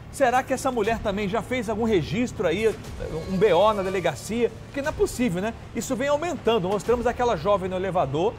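A man from anchoring a crime news show, talking emphatically and indignantly straight to viewers. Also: high voice. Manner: indignant, repeats and addresses viewers directly. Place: TV studio.

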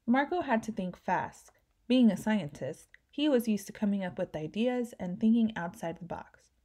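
Mellow woman reading a storybook aloud. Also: mid-range voice, expressive, normal speed. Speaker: children's book reader.

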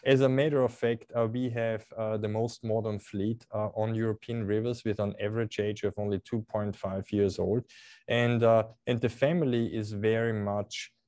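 Austrian man with a deep, dry voice. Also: little pitch movement, normal speed, darkish tone, no room sound. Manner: hesitant, many fillers and repeated words. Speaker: cruise company representative. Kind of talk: video call interview. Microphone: video call.

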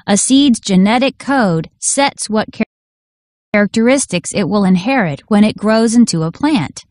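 Female narrator for schoolbook read-aloud, mid-pitched, instructional, dry sound. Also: lively intonation, normal speed, darkish tone, no room sound. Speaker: audiobook narrator. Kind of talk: scripted educational read-aloud.

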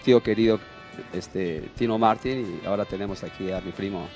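A middle-aged man introducing his bandmates in a TV studio interview, halting and repeating words as he goes, on a handheld microphone. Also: fairly low pitch, darkish tone, soft background music. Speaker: bandleader, musician guest.

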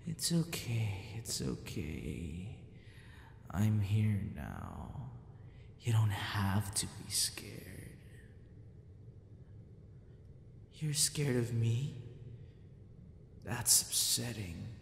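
A man playing a gentle, possessive yandere captor in an ASMR roleplay, speaking softly and often slipping into whispers. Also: very animated, extremely slow, heavily acted. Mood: soothing, concerned. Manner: soft, breathy, often dropping into a whisper. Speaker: audio roleplay voice actor. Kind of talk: ASMR boyfriend roleplay acting. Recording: roomy reverb with faint rumbling noise.

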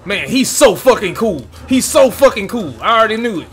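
Excitable young man, high lively voice. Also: brisk, faint music behind. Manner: excitable, swears a lot, talks to viewers. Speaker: gaming YouTuber. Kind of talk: casual gameplay reaction commentary. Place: home room with gaming chair.

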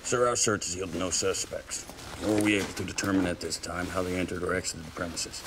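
A man's voice: sits low, steady tone, fast talker, lightly acted. An actor playing a cool, unflappable man in a heist film, with a dry, matter-of-fact manner. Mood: brisk, official. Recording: film audio with clear background noise.

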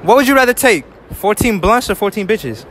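Upbeat young street interviewer, lively pitch. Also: male voice, brisk, slight background noise. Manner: upbeat, slangy, repeats "all right". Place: indoor shopping mall. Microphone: handheld mic held to speakers.

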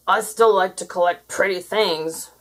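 A woman's voice: on the low side, steady tone, measured pace, rough recording. A woman voicing a big, greedy male monster in a growling, gloating storybook manner. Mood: grumbling. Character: big greedy male monster who hoards pretty things.

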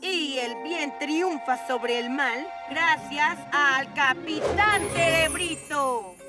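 A high-pitched, pompous little mad scientist, boasting theatrically in unhurried Latin American Spanish. It is a children's cartoon with loud music under the voice. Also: female voice. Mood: heroic bragging. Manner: pompous, declaiming. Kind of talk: children's animated cartoon dub.

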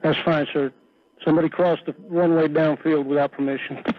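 Tinny radio, fast-talking male controller. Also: a little high, little pitch movement, dry recording. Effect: aviation radio. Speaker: air traffic controller. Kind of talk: terse radio instructions. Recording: tinny, noisy air traffic radio.

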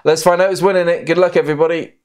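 A man's voice: a little high, fairly even intonation, rapid-fire, darkish tone. A middle-aged British man hosting a live-streamed prize draw in casual, bantering style. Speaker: competition live-draw host. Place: indoor studio.

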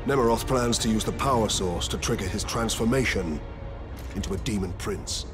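Man playing a low-voiced, stern marine captain. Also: normal speed, lightly acted. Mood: grim. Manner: commanding, grave. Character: stern, resolute male space marine captain. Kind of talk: dramatic video game cutscene acting. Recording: music and effects behind.

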